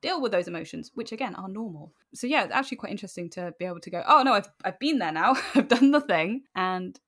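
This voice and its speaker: A woman's voice: medium pitch, lively intonation, fast, a bit bright. A woman speaking candidly and informally as an interview guest, animatedly telling personal stories. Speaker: podcast guest.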